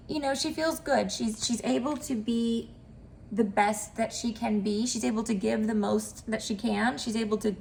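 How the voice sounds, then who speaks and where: A woman's voice: a little high, vocal fry, a little mellow, a little hiss or hum. A woman giving a casual, upbeat tarot reading, her voice cracky and nasal from a mild cold, chatting with many fillers. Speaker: tarot reader.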